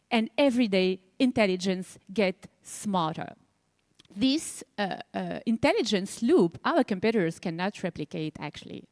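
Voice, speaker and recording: Woman founder pitching, close dry sound. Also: darkish tone, dry recording. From Tunisia. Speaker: startup founder and CEO pitching. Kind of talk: prepared stage pitch.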